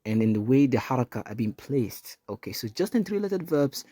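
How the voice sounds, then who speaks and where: A man's voice: mid-range voice, sing-song, brisk, warm tone, no room sound. A man teaching an Arabic lesson, explaining each rule patiently and repeating points with small fillers.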